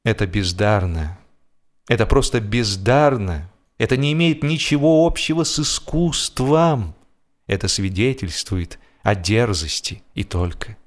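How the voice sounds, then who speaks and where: A man giving a theatrical, humorous literary narration with expressive, ironic delivery and deliberate pauses. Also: lowish voice. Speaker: audiobook narrator and actor.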